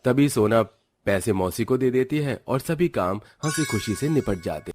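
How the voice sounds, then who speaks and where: A man's voice: mid-range voice, expressive, normal speed, over music. A man narrating a scripted cartoon family story.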